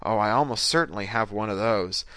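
A man's voice: fairly low pitch, fairly quick, bright, forceful tone, no echo. A man voicing an angry, litigious young American woman in an audiobook story, brash, scheming and demanding. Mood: breezy, scheming. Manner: strident, demanding.

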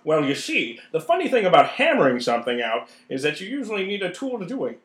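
A man's voice: high-pitched, huge pitch swings, quick pace, a bit bright, voice pushed up, full character voice. A man playing an earnest, pun-loving comedian from a 1930s double act in a radio play. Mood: punning. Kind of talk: old-time radio comedy acting. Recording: roomy, echoing room sound.

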